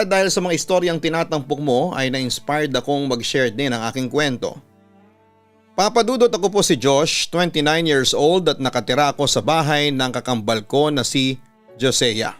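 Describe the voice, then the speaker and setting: Filipino man narrating over music. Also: on the high side. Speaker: radio announcer and storytelling host. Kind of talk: dramatic narration of a listener's confession letter. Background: background music.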